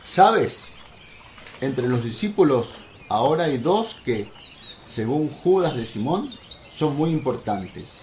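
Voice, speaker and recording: An actor playing a humble, plain-spoken apostle, earnest and talkative, in a religious radio drama. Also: male voice, mid-range voice, big pitch swings, takes it slowly, a little mellow. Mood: earnest, chatty. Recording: roomy echo, faint noise, birdsong behind.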